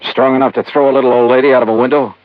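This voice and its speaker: An actor playing a cool, insistent American insurance investigator with clipped, pointed questions in a 1950s radio detective drama. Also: male voice, mid-range voice, steady tone, rapid-fire, dry recording, lightly acted. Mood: pressing, cool. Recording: dull vintage radio, faint music.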